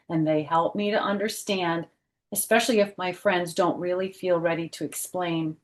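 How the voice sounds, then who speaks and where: A middle-aged woman reading a picture book aloud for children, with gentle teacherly phrasing and chatty personal asides. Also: medium pitch.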